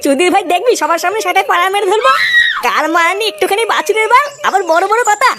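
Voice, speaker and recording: A woman's voice: extremely high pitch, animated, fast talker, shouting, very noisy. A woman voicing a foul-mouthed, bickering village boy in a comic cartoon, brash and scolding.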